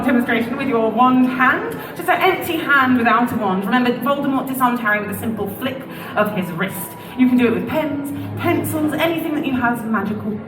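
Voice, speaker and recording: A woman presenting a live event, cheering on a crowd through a microphone in a bubbly, playful, encouraging way. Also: on the high side. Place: large indoor public venue. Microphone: amplified microphone. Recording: echoey PA sound from far off. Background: crowd noise.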